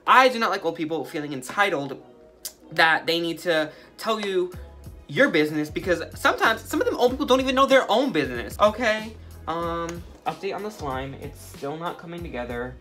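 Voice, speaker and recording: A young man with a light, feminine-sounding voice, casually sharing his opinions with many filler words as he makes slime. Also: on the high side. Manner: talkative and opinionated, heavy use of "like". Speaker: vlogger. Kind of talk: casual opinion chat while making slime.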